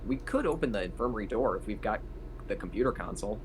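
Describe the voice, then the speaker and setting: Male roleplayer, fairly low voice, loose conversational improvising, light background noise. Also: lively intonation, fast talker. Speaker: tabletop roleplay player. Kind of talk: casual improvised roleplay chat.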